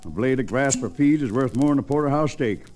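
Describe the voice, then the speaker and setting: A man's voice: medium pitch, animated, fairly quick, a little mellow, lightly acted. Guy doing tired old west sheriff, glum n drawling, voice swings up and down, vintage radio western. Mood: weary, glum. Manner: hesitant drawling talk with throat clearing. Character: weary, glum frontier sheriff who likes his whiskey. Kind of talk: 1940s radio Western acting.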